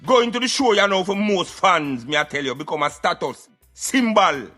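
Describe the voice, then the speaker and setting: Jamaican male, high-pitched, sharp tone, emphatic patois commentary, conversational, music underneath. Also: lively intonation, normal speed. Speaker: radio show commentator.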